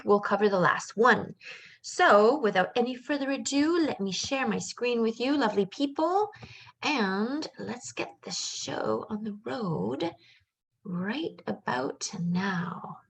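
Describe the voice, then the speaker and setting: A woman's voice: a little high, poor audio. A middle-aged woman who was born in Canada and raised in France, a life coach giving a casual live webinar in a chatty, upbeat way with lots of fillers and asides.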